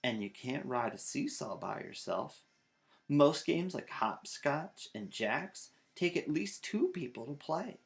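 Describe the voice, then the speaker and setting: A man voicing a patient mother bear who reasons gently in a storybook. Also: fairly high pitch, sing-song, gravelly, full character voice. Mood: calm. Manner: quiet, measured.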